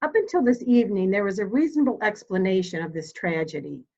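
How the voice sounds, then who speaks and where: A woman's voice: medium pitch, animated, mellow. Woman playing a dignified elderly matriarch, slightly muffled. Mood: grave, resolute. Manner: formal, deliberate, commanding. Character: elderly dying matriarch, dignified, steely, grieving mother. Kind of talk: dramatic reading of a murder mystery.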